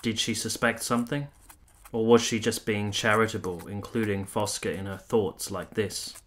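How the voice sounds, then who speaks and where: A man acting a courteous, reserved young army captain in a radio play, speaking in a formal, measured, literary way. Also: lowish voice, little pitch movement, a bit bright. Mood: sombre, reflective. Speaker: actor and narrator in a radio play. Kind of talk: literary first-person narration and radio drama dialogue.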